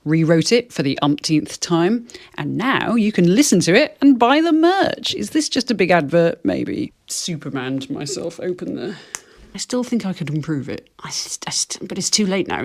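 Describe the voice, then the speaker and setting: A woman presenting a wry video essay to camera, with dry, self-deprecating humour. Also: on the low side. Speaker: video host, fiction writer.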